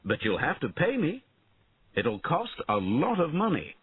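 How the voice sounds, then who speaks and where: Storybook knight acted by a man: proud, mercenary, declaiming, raised lively pitch, thin band-limited sound. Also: measured pace, narrow, tinny audio, a little hiss or hum. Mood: sly, offering. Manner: emphatic, declaiming. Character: proud, mercenary male knight, bold and disillusioned.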